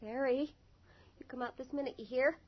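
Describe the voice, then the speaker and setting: High-voiced, guarded middle-aged woman. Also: fairly even intonation, moderate pace. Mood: curt, commanding. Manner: curt, wary, commanding. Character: suspicious, guarded, commanding wife and mother. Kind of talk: radio drama acting. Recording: muffled, noisy old radio recording.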